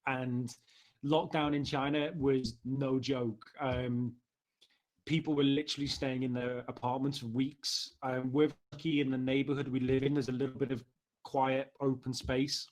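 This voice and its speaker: A man with a Liverpool accent speaks as a guest in a casual interview. His voice is mid-pitched, and he talks in a conversational way. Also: level tone, normal speed, a little mellow, poor audio. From Liverpool, England. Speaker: interview guest, marketing director.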